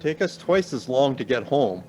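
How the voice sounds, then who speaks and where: An actor playing a cautious, pedantic older professor in a naturalistic radio play, formal, dry and reserved in manner. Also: male voice, mid-range voice, very animated, normal speed, dark tone, slight background noise, natural voice, rough recording. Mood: dry, measured.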